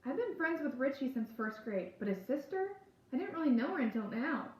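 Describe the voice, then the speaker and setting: A woman's voice: high voice, sing-song, a little fast, darkish tone, voice pushed up. Woman voicing a tense, skeptical schoolboy, echoey room. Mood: snappy, worried. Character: worried, skeptical schoolboy, the narrator's friend.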